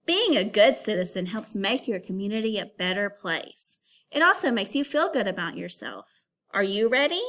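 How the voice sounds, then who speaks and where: A woman teacher reading aloud to young pupils and explaining as she goes, in an instructive, clearly phrased way. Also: mid-range voice, very animated, normal speed, a bit bright. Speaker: elementary school teacher. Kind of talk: read-aloud reading lesson for children.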